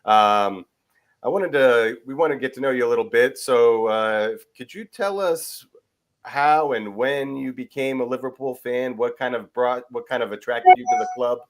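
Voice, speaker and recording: A man's voice: medium pitch, moderate pace. A man hosting a sports podcast in a casual, conversational style. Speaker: podcast host.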